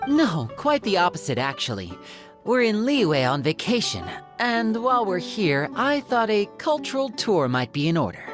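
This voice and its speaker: A man's voice: sits high, wildly swooping pitch, normal speed, over music. An actor playing a suave, courteous young male magician in a video game, with a polished, theatrical manner. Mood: genial.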